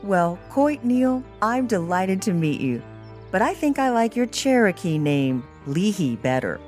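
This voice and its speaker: A woman playing a gentle, sincere young woman grieving a lost love, speaking tender, earnest dialogue in an audiobook. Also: mid-range voice, sing-song, unhurried. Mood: warm. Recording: music under the voice. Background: music.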